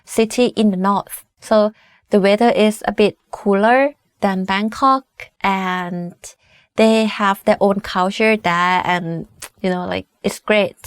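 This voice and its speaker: A woman's voice: medium pitch, steady tone, takes it slowly, smooth, no room sound. A Thai woman in her twenties with a Thai accent, giving a casual language lesson full of "like" and "I mean". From Thailand. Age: 20s. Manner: chatty, many "like" and "I mean" fillers. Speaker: language teacher.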